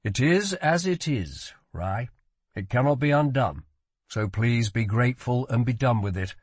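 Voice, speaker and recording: A man plays a guarded, protective magician in an audiobook. His voice is husky and sits at a middle pitch, measured and earnest, with a firm calm. The sound is dry. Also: no room sound.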